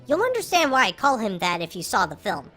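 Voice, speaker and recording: An artificially pitch-shifted voice of a film reviewer giving opinionated scripted commentary with exasperated emphasis on key words. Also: wildly swooping pitch, fairly quick, pushed and bright, soft background music.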